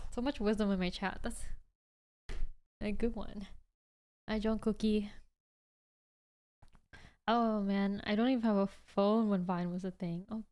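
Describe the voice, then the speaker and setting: High-voiced, clear young woman chatting casually. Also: darkish tone. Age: 20s. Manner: rambling, chatty, frequent "like". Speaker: livestream host. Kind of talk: casual chat with livestream viewers.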